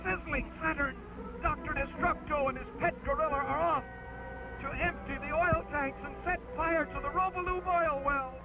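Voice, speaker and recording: A man's voice: extremely high pitch, steady tone, moderate pace, poor audio. A man giving a theatrical, emphatic dramatic reading of an old-fashioned children's adventure, with music under his voice. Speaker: narrator, live stream host.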